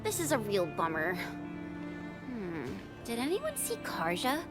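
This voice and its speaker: A woman's voice: a little high, huge pitch swings, a little slow. A woman voice-acting an earnest, upbeat young relief helper in a video game cutscene, with music behind her. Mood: worried.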